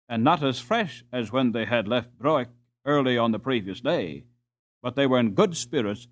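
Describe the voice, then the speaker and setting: A man narrating a storybook as a scripted audiobook reading. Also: medium pitch.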